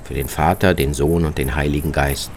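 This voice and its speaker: A man's voice: rumbling low, fairly even intonation, fairly quick, a little hiss or hum. A man narrating a scripted first-person travel report, as a reporter, with music behind his voice. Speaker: reporter narrating own radio travel feature.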